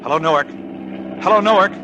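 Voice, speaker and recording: Urgent flyer , male actor, high raised voice, calling through heavy vehicle noise, vintage radio. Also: fast, muffled recording, natural voice. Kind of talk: 1940s radio drama acting.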